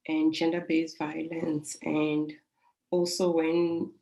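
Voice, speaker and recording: A middle-aged woman with a South African accent, a life coach calmly telling her own story in a soothing voice. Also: sits low, vocal fry, low-quality recording. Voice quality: calm, soothing voice. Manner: reflective, unhurried, with soft laughs. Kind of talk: personal storytelling.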